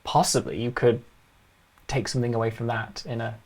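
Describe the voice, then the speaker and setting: Friendly moderator reading school questions to a panel, mid pitch, lots of ums and little laughs. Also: steady tone, fairly quick, a little mellow, slightly rough. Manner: chatty, laughs easily, thinks aloud with fillers. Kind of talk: hosting a live online school Q&A.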